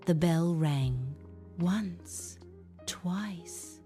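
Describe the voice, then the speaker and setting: A woman's voice: lowish voice, drawn-out words, dark tone, faint music behind. A woman narrating a scripted moral story for children as a storyteller.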